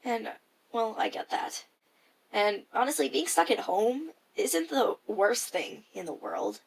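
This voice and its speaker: A woman's voice: a little high, big pitch swings, unhurried, creaky, poor audio. A teenage girl recording a casual, reflective audio diary, musing hesitantly and trailing off at times. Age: teenager, high school freshman. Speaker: personal audio diarist.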